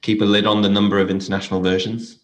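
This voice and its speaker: Male interviewer, very low voice, conversational journalistic questioning. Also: level tone, fast talker.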